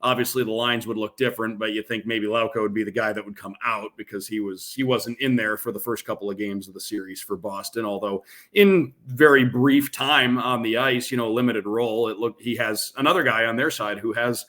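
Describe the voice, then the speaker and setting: A man's voice: on the low side, steady tone, a little fast, fairly bright tone. A man who works as a radio play-by-play announcer, giving casual hockey analysis as a podcast guest. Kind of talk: casual sports analysis in an interview.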